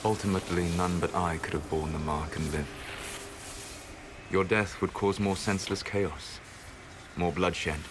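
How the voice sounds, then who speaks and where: An actor playing a calm, cold, world-weary rebel mage in a video game cutscene, speaking in a measured, formal way. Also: male voice, low voice, very noisy. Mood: grave, resigned. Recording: background music under dialogue.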